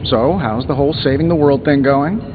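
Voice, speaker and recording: An actor voicing a wisecracking genius inventor superhero in a video game, glib and sarcastic. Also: male voice, mid-range voice, a little fast, natural voice. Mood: breezy, helpful. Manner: glib, sarcastic, breezy banter. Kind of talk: video game dialogue acting. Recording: dull game audio, long reverb, music.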